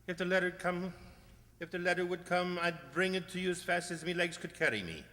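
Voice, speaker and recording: A man's voice: sits high, a little fast, sharp tone. A man playing a kindly, chatty country postman in a radio play, with folksy turns of phrase. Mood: gently reassuring. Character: kindly rustic village postman, familiar and chatty. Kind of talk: radio mystery play acting. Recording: reverberant hall-like sound.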